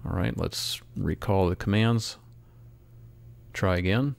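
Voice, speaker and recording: A man narrating a software tutorial as an instructor, in a measured, step-by-step way. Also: low-pitched, gravelly, very noisy. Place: close, dry voice-over for screen recording.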